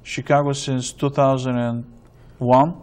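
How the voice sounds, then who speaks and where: A man's voice: medium pitch, flat delivery, measured pace, darkish tone. Bulgarian-born male interviewer, playful questions. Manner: probing, playful, with frequent fillers. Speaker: interviewer, host.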